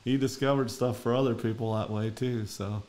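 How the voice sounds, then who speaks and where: A man's voice: mid-range voice, fairly even intonation, a little fast, darkish tone. Folksy Minnesotan man hosting a podcast. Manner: rambling, folksy, many fillers. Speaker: podcast host. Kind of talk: casual interview chat.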